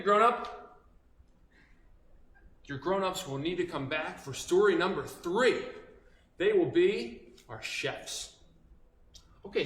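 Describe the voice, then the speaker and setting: A man telling interactive stories to young children, animated and instructive, with emphatic pauses. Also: high voice, reverberant. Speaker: children's storyteller.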